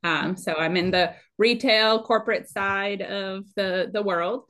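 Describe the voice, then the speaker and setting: A woman, chatting casually and animatedly as she tells stories. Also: medium pitch. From Minnesota, United States. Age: late 30s. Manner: chatty, animated, quick to laugh. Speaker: podcast guest, amateur trail runner. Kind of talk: casual conversational storytelling.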